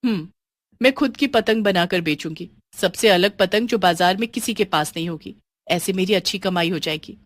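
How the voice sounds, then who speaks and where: An earnest, good-hearted young woman, played by a female storyteller. Her storybook delivery is expressive and girlish, and the recording is dry. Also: on the low side, moderate pace, dry recording, close to an everyday voice. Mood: hopeful. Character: kind, hard-working young woman, devoted to her family. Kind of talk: dramatised storybook dialogue.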